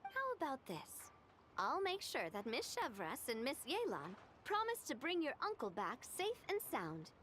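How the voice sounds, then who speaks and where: An actress voicing a kind young woman leader in a game, gentle and reassuring. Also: sits high, wildly swooping pitch, measured pace, slight background noise, full character voice. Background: game music.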